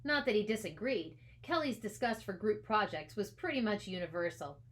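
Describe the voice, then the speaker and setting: Expressive woman reading a story aloud. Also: mid-range voice, moderate pace. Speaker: author reading their own book aloud. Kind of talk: scripted storybook narration, expressive.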